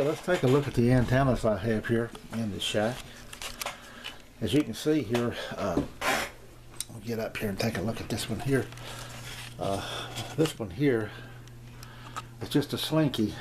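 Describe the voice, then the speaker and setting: A man's voice: fairly low pitch, darkish tone. An older man giving a casual, unscripted tour of his radio room, rambling and hesitant as he repeats himself. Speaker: amateur radio hobbyist giving a shack tour. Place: small home radio room, indoors. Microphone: handheld camera's built-in mic. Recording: handheld camcorder with clear background noise.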